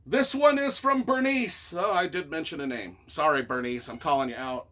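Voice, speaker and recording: A man reading reviews aloud and reacting casually in an expressive, wry, self-deprecating way. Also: on the high side, normal speed. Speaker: author and YouTuber.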